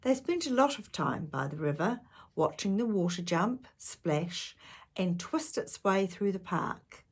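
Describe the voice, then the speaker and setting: A woman reading a children's storybook aloud, with measured, expressive narration for young listeners. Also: mid-range voice, slightly rough.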